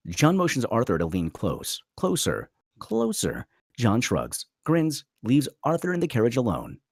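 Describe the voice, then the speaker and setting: A man's voice: mid-range voice, huge pitch swings, measured pace, vocal fry, darkish tone, dry recording, clean studio sound. A man narrating a screenplay dramatically at a table read.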